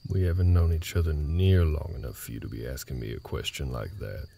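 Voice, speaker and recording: A man playing a gruff, guarded cowboy ranch hand in an intimate roleplay audio drama, speaking tersely in rustic, folksy phrasing. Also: extremely low pitch, expressive, brisk, warm tone, a little hiss or hum, heavily acted. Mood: gruff, irritated. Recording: nighttime cricket ambience behind voice. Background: crickets.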